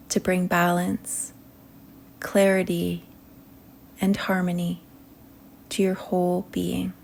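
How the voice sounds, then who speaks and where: Very slow, creaky-voiced woman guiding meditation. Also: mid-range voice, darkish tone, slight background noise. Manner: slow, soothing delivery with long pauses between short phrases. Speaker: meditation guide. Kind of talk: guided meditation.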